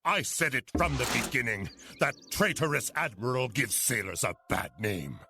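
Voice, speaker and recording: A man's voice: medium pitch, expressive, moderate pace, vocal fry, pushed and bright, a little hiss or hum. A man playing a wry, irreverent Chinese sailor and loyal sidekick in a video game cutscene, with a dry, sardonic delivery. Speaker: game character, captain's companion.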